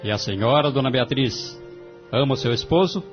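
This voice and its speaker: A Brazilian man playing a calm, wise family-court judge in an audio drama, speaking in a formal, measured, moralizing way. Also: medium pitch, lightly acted. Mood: solemn, encouraging. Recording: dull lo-fi audio, music underneath.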